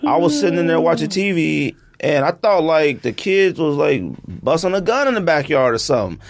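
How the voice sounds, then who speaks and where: Lively, high-voiced man telling stories. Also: normal speed, gravelly, slight background noise. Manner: animated, slangy storyteller. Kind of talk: casual chat and storytelling.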